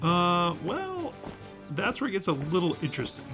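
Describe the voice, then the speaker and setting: A man's voice: sits high, wildly swooping pitch, normal speed, over music. A man playing a sheepish, flippant sidekick in an audio drama, with a casual, hesitant way of talking. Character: sheepish, flippant sidekick man who blurts awkward truths.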